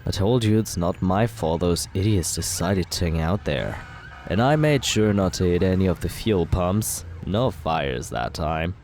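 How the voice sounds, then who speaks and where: A man's voice: low-pitched, expressive, unhurried, a little mellow, faint music behind. A young man playing a cocky male villain who courts the listener in an ASMR roleplay, teasing and laid-back as he justifies himself.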